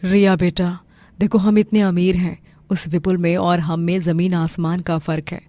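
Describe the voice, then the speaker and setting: A woman's voice: fairly low pitch, flat delivery, normal speed, warm tone, no room sound, studio quality, close to an everyday voice. A woman playing a maternal, emphatic middle-aged mother-in-law in a cartoon moral story. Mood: explaining. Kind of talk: animated moral-story dramatisation.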